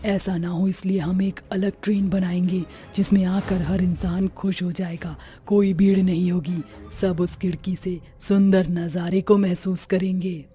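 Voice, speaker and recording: Woman playing a bossy, dark-voiced witch ghost. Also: fairly low pitch, little pitch movement, a little slow, hardly any pauses, faint music behind, close to an everyday voice. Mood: resolved. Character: bossy female witch ghost, scolding yet reform-minded. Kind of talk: animated cartoon story acting.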